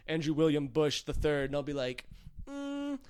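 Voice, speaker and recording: Man, higher-than-average pitch, casual comedic banter, rambling delivery, acts out imagined quotes, podcast co-host. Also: big pitch swings, normal speed, darkish tone, clean tone.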